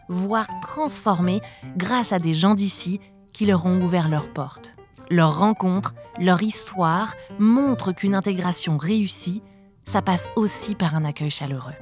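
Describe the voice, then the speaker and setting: Podcast host, woman, lowish voice, scripted intro read over faint music. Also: darkish tone. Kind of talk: scripted narration.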